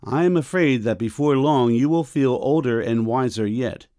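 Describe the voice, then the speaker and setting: A man playing a stern, middle-aged doctor and father in a period audiobook drama, with a measured, formal, coolly ironic manner. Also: mid-range voice, warm tone, dry recording, clean studio sound, lightly acted. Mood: stern, disapproving. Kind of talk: full-cast audiobook period drama acting.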